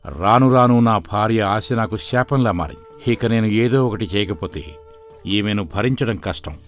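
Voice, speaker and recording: Man playing a weary, low-voiced henpecked husband. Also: normal speed, soft background music, natural voice. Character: long-suffering, weary, gentle husband.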